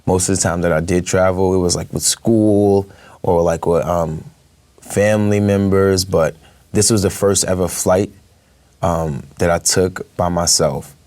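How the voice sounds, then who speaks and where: A man's voice: low voice, fairly even intonation, a little slow, a little mellow, no echo. A young man, a guest in an interview, talking casually with many fillers and easy laughs. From Brooklyn, New York. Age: young adult.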